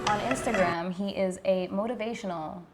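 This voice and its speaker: A woman's voice: mid-range voice, moderate pace, soft background music. A woman hosting a podcast, chatting in an upbeat, easygoing way and laughing easily. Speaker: podcast host. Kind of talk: casual chat.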